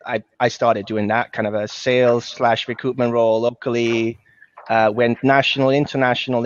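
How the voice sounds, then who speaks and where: A middle-aged Danish man with a Danish accent, telling his work history in a loose, rambling way with many fillers over a video call. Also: medium pitch. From Denmark. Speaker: guest, talent sourcer. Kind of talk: casual video chat. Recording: dull lo-fi web call audio.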